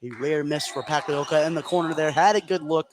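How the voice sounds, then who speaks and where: A man's voice: fairly high pitch, fairly even intonation, a little fast, crowd noise. An American man calling live basketball play-by-play as a radio announcer. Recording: live gym broadcast, clearly noisy background. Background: noisy gymnasium ambience.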